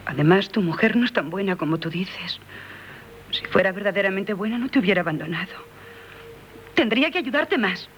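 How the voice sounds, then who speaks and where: Actress as devoted, insistent young lover. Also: female voice, medium pitch, expressive, brisk, lo-fi sound, lightly acted. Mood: resolute. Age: young adult. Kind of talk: 1970s radio drama acting. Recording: noisy 1970s radio broadcast recording.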